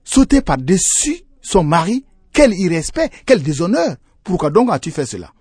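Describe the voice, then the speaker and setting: A scheming female hyena from a folktale, acted out by a man. The voice sits fairly high and very dry. She flatters and gossips in exclamations, always insinuating. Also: normal speed, dry recording. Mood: outraged.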